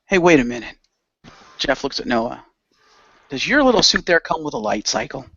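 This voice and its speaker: Male player, mid pitch, wry and grumbling, narrating game moves, dry dull audio. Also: sing-song, normal speed, gravelly, a bit bright, no echo. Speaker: tabletop role-play player. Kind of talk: improvised narration with casual in-character asides.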